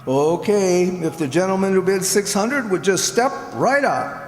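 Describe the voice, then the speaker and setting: A man plays a radio-drama auctioneer, businesslike but easily flustered. He calls out haltingly in a high, unhurried voice that swings up and down through a very echoey hall. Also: expressive. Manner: calling out, halting. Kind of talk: old-time radio drama acting. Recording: echoey hall, long reverb.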